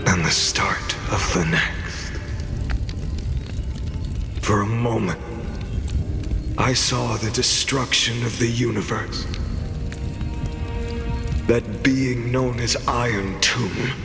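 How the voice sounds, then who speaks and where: A man playing a tormented, resolute young hero in a video game, with solemn, grandiose, breathy delivery. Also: on the low side, heavily acted. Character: tormented young male hero vowing to burn through endless cycles. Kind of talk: dramatic video game voice acting. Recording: music behind, roomy reverb.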